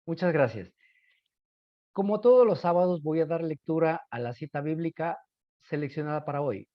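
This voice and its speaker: A man's voice: a little high, moderate pace, no room sound, muffled recording. A man with an Ecuadorian accent hosts a radio program, presenting and interviewing in a formal, courteous manner. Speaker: radio host.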